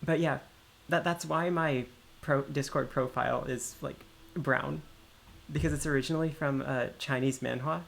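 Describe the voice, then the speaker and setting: American man streaming, gravelly creak in his voice. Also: mid-range voice, fairly even intonation, normal speed, a bit bright. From United States, Maryland. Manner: rambling, explanatory asides to chat. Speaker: livestream host playing a video game. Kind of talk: casual chat while gaming.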